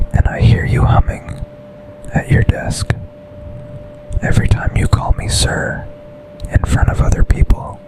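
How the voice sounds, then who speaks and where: A man playing a smitten, commanding yet tender boss and secret lover in an intimate ASMR roleplay, speaking in a breathy, seductive whisper. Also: natural voice, rough recording. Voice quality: breathy, almost entirely unvoiced whisper. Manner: slow, deliberate, seductive, intimate.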